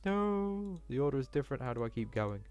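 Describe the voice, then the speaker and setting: A man's voice: medium pitch, very animated, brisk, a little mellow, clean tone, dull audio, soft background music, voice pushed up. Man voicing an anxious young cat student. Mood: frustrated, dismayed. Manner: fretful, talking to himself.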